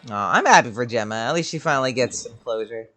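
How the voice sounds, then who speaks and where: Casual gaming streamer: medium-pitched voice, irreverent, slangy, muttering reactions to gameplay. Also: expressive, fast, a bit bright, faint music behind.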